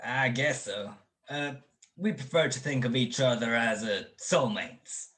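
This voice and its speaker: A man's voice: mid-range voice, measured pace, fairly bright tone, lightly acted. A young man playing an awkward boyfriend in a radio drama, speaking hesitantly and colloquially. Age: young adult. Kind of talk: 1940s radio drama acting.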